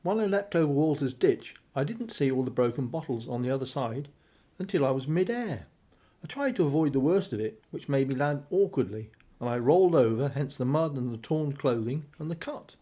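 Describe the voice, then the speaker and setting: A male actor plays a weary husband with a soft, mellow voice at a middling pitch. He explains himself earnestly to his wife, in the manner of a domestic radio drama. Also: lively intonation, fairly quick, close to an everyday voice.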